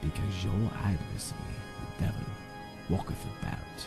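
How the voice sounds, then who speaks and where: Low, creaky, slow male narrator. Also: big pitch swings, over loud music. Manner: dramatic, deliberate storytelling. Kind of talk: scripted narration of a melodramatic audio drama.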